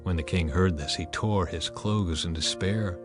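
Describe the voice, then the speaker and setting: A man narrating a dramatized scripture reading in a measured, earnest way. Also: low voice. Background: faint background music.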